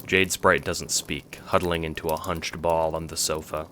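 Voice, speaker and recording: A man's voice: rumbling low, flat delivery, normal speed, pushed and bright, slight background noise. A man reading scripted second-person narration for an audio drama.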